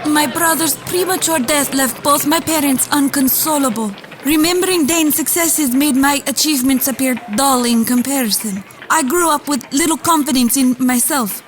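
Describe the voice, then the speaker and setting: High-voiced earnest boy played by a woman. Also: lightly acted. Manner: earnest, confiding. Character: earnest, sensitive adolescent boy genius. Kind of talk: radio drama monologue. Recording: faint music behind the voice. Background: faint music.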